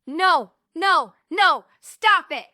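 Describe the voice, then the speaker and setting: A woman's voice: very high voice, animated, drawn-out words, bright, forceful tone, dry recording, studio quality, exaggerated voice. A woman playing a frightened, pleading young dreamer in a script table read. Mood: panicked.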